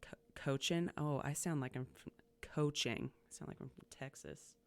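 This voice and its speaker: A woman's voice: very deep voice, moderate pace, gravelly. A woman hosting a casual coaching podcast, chatty and upbeat as she asks her guest questions. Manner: chatty and upbeat, many fillers and restarts. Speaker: podcast host, community college coach. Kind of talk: casual interview conversation.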